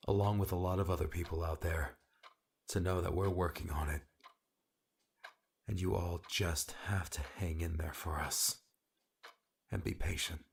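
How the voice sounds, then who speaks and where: A man voicing a weary, brusque rescuer with a secret caring side and a husky voice, in a dramatic audiobook. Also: sits low, full character voice. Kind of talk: dramatic audiobook character dialogue.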